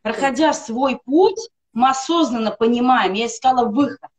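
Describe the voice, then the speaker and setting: Woman, middle-aged, slightly high pitched, earnest testimonial, emphatic lists, webcam video call, home room. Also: moderate pace. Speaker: guest on a live stream. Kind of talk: personal testimonial for a wellness product.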